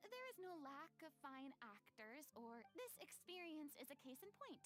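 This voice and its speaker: An actress playing a proud, theatrical young former stage star in a video game, eloquent and self-possessed. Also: female voice, high-pitched, sing-song, normal speed, a bit bright, faint music behind. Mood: firm.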